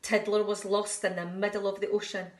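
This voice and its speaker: A woman, a nursery teacher, reading a picture book aloud to young children in an expressive, sing-song way. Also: medium pitch, brisk. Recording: echoey room.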